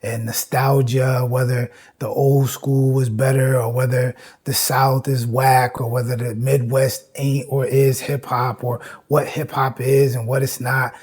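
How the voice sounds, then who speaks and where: Man, husky tone, mid pitch, informal lecture, emphatic, repetitive phrasing, conversational host. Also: little pitch movement, measured pace, hardly any pauses, darkish tone. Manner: emphatic, repeats phrases, frequent "you know" and "right?".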